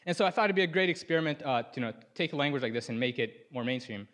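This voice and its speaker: A man's voice: mid-range voice, animated, rapid-fire, fairly bright tone. Sudanese man giving a casual tech talk. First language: Arabic. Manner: casual, lots of "like" and "you know" fillers. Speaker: conference speaker. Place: conference stage.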